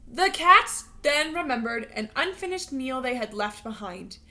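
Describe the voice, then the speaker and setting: A woman teacher reading a picture book aloud to young children, expressive and instructional, pausing to put questions to them. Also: high-pitched. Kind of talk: animated read-aloud storytelling with questions to young learners.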